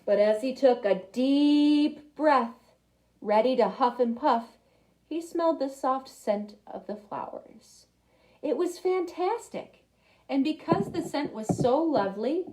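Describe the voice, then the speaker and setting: A young woman reading a picture book aloud to children, expressive, with little asides to her listeners. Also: sits high, takes it slowly, rough recording. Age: young adult. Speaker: library storytime reader.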